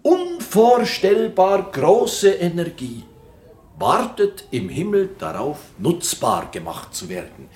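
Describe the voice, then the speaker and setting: An actor playing an older, visionary science teacher in a German radio play, theatrical and mysterious, dramatic and deliberate with stretched words. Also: male voice, a little high, full character voice. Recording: roomy, echoing studio sound.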